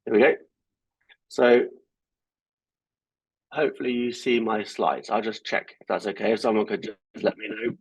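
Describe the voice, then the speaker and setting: Male guest lecturer, informal and self-deprecating, slightly husky voice, dry close sound. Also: lowish voice, moderate pace, long pauses, no echo, poor audio. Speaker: guest speaker. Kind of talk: academic talk on a video call. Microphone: computer mic on a video call.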